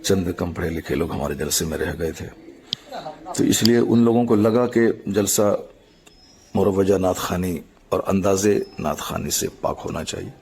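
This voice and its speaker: Very gravelly, low-voiced man. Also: a little mellow, a little hiss or hum. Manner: formal, measured, halting in short phrases. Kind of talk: explanatory public statement.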